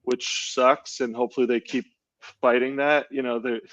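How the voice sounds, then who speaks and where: A man's voice: medium pitch, flat delivery, normal speed, fairly bright tone, no echo. A male podcast co-host, chatting enthusiastically on a video call and rambling through fillers and restarts. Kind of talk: casual podcast chat.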